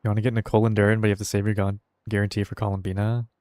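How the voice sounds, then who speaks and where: A man's voice: on the low side, level tone, racing, darkish tone, clear, resonant tone, no room sound. A man streaming a game and chatting casually, rambling in a hesitant, laid-back way as he thinks aloud. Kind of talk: casual livestream chat while gaming. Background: faint music.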